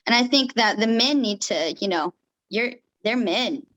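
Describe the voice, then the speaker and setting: A woman's voice: fairly high pitch, lively intonation, measured pace, a bit bright, rough recording. A woman boxer speaking as an interview guest, outspoken and firm.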